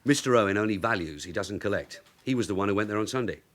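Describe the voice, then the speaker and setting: An actor playing a shrewd veteran London detective sergeant in a radio drama, dry, knowing and informal. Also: male voice, on the low side, expressive, fast talker, fairly bright tone. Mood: wry, knowing. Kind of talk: British radio drama acting.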